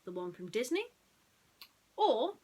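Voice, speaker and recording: Lady doing bedtime storytime, really slow, voice goes up and down a lot, asks listeners questions. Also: on the high side, sing-song, a little mellow, husky. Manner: addresses listeners with rhetorical questions. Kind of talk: bedtime story narration with chatty introduction.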